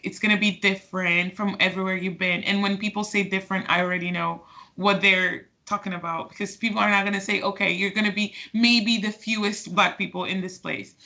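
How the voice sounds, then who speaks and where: A young woman in her twenties, originally from Portugal, answers interview questions in a relaxed, conversational way. Her voice is bright and edged with vocal fry. She hesitates, repeats words and fills gaps with "like" and "um". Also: medium pitch. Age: 20s. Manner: hesitant, many fillers and restarts. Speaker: interview guest.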